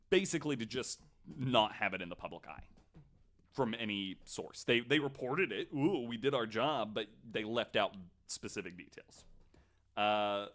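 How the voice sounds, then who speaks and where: A man's voice: lowish voice, a bit bright. A man casually telling a researched story on a podcast, in an informal, somewhat hesitant way.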